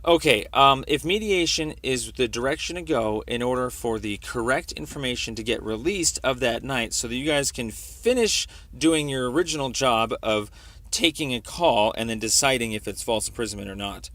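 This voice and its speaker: A man's voice: medium pitch, normal speed, fairly bright tone. A man arguing his complaint on a phone call in an insistent, repetitive way, often talking over the other person. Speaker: complainant calling police internal affairs. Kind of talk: argumentative phone conversation.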